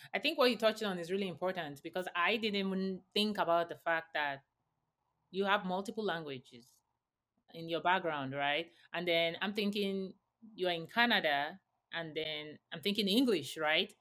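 A woman's voice: fairly low pitch, normal speed, fairly bright tone. Woman host with rambling questions. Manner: asks long, rambling multi-part questions. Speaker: podcast host. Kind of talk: casual interview questions.

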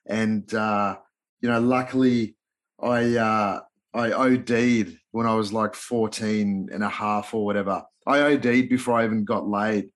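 A man's voice: lowish voice, fairly even intonation, measured pace. A man in his thirties, born in Hungary and raised in Australia, with an Australian accent, talking as an interview guest in a laid-back, slangy way full of fillers and restarts. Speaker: guest, yoga and mindfulness coach. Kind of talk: casual podcast interview.

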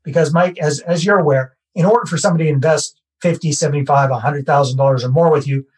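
A Canadian man, a real estate investor, talking through his process in a relaxed podcast interview and repeating his key points. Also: fairly high pitch, quick pace, breathy edge. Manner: conversational, self-deprecating, repeats key phrases.

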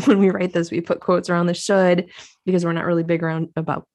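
A woman's voice: lowish voice, level tone, fairly quick, darkish tone. A woman co-hosting a podcast, chatting casually with her sister, with lots of fillers and easy laughter. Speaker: podcast co-host. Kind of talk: casual chat.

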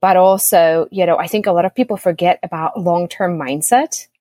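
A woman's voice: on the low side, normal speed, mellow, no room sound, studio quality. A young woman born in the Czech Republic, Czech her first language, guest on a podcast, friendly, laughing easily and saying "you know" often. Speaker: business coach, guest. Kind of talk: casual interview chat.

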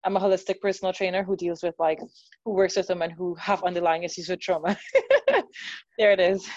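A woman's voice: fairly low pitch, lively intonation, fairly quick, darkish tone, no echo, highs cut off. A woman in her thirties from Trinidad and Tobago, with an accent mixing Trinidadian and American, chatting as an interview guest about her work as a personal trainer, with lots of fillers and easy laughter. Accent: mixed Trinidadian and American. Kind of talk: casual conversational interview.

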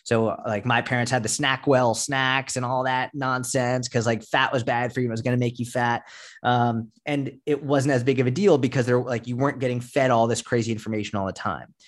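A man's voice: mid-range voice, fairly even intonation, fairly quick. A man in his thirties, a fitness coach, talking as a podcast guest in an emphatic, explanatory, casually sweary way. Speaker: fitness coach and content creator, interview guest.

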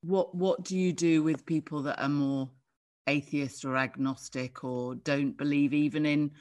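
A host chats in a casual interview, encouraging the guest with constant backchannels and bright exclamations. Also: mid-range voice, steady tone, measured pace. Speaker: podcast host.

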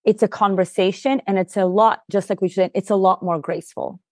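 A woman's voice: mid-range voice, fairly even intonation, fairly quick, a little mellow, dry recording, clean studio sound. A woman whose first language is Russian, a podcast guest and leadership coach, explaining things earnestly in casual conversation with frequent "you know" and "like".

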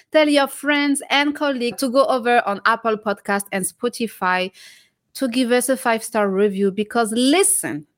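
A woman's voice: a little high, no room sound, studio quality. A woman hosting a leadership podcast, reading an upbeat promotional introduction and then putting questions to her guest. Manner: upbeat, promotional, multilingual greetings. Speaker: podcast host. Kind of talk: scripted podcast intro and interview.

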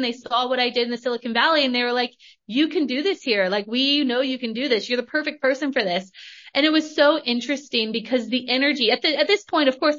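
A woman's voice: sits high, fairly bright tone, highs cut off. A woman in her thirties from Northern California, hosting a podcast and telling her story in an emphatic, motivational way, repeating phrases and swearing mildly. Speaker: podcast host.

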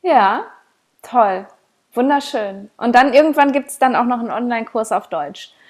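A woman's voice: a little high, animated, moderate pace. A woman hosting a podcast over a video call, enthusiastic and affirming, chatting casually with her guest. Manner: enthusiastic, affirming, many short "ja" replies. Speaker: podcast host. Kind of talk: casual interview chat.

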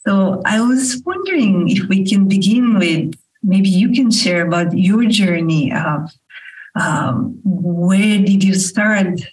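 A woman co-hosting a podcast, asking long, searching questions with many pauses and fillers. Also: mid-range voice, expressive, a little mellow, low-quality recording. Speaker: podcast co-host and filmmaker. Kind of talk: interview questions, conversational.

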